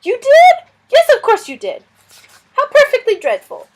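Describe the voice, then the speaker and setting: A woman's voice: very high voice, huge pitch swings, a little slow, noisy background, pitched above the performer's own voice, exaggerated voice. A woman voicing Suz, a twittery fretful lizard. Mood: flustered. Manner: twittering, easily fretting, dithering. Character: fussy, flustered little male lizard. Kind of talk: audiobook character voice.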